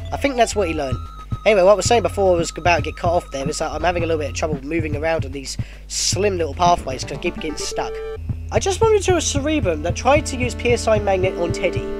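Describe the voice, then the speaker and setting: Stuffed-up man with a lively, high voice. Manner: chatty, self-correcting, excitable at battles. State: stuffed-up nose from a cold. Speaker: let's play host. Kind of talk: casual gameplay commentary.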